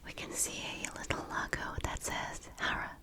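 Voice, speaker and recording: Female ASMR presenter for an unboxing, entirely whispered and breathy, deliberate delivery. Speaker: ASMR video creator presenting a product unboxing.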